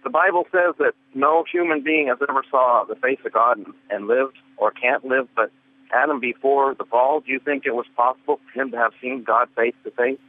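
Guy calling into a radio show, earnest, kinda halting, tinny phone line. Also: medium pitch, steady tone. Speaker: caller.

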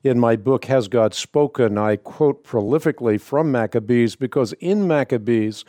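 A man from the Netherlands, a native Dutch speaker with no accent, hosting a call-in radio show and answering Bible questions in a measured, explanatory way. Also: mid-range voice, dark tone, no room sound, studio quality.

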